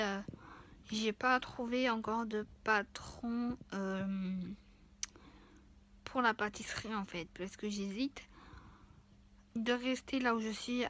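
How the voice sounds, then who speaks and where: A woman's voice: medium pitch, fairly bright tone, slight background noise. Young woman with flu, rambling. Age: 20s. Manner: rambling, hesitant, many fillers. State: stuffy-nosed from the flu. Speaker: vlogger. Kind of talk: casual chat.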